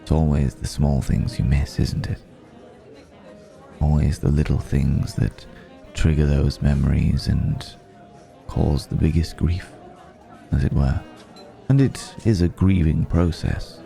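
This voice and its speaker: Guy doing a super deep gravelly old vampire, refined n wistful, slow asmr roleplay w music behind. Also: warm tone, heavily acted. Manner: measured, reflective, with lingering pauses. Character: refined, wistful centuries-old male vampire, urbane and predatory. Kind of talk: intimate ASMR roleplay monologue.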